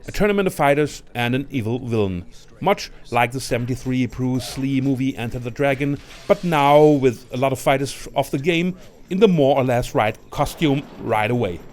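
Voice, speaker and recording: A man's voice: mid-range voice, moderate pace, a little mellow. A man presenting a scripted film review as a ranked list, in the manner of a video narrator. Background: music.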